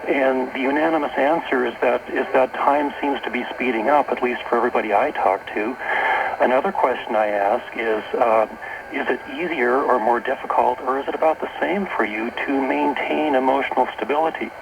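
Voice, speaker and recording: A man's voice: medium pitch, a little fast, vocal fry. American man explaining over noisy shortwave radio. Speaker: talk show guest, author and workshop leader. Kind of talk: unscripted radio interview talk.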